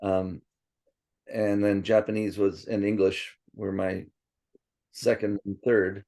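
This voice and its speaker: A man with a gravelly, dark voice tells stories in a loose, rambling way. He restarts often and wanders into asides. Swedish was his first language. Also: on the low side, level tone, slow. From born in Princeton, raised partly in Tokyo. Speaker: interviewee. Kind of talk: casual reminiscing interview.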